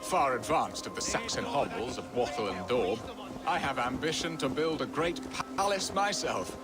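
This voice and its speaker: An actor playing a self-pitying, romantic Saxon lord in a video game, with a theatrical, wistful and dithering manner. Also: male voice, mid-range voice, lively intonation, normal speed, over music, lightly acted. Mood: dreamy, grandiose. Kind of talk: video game drama acting.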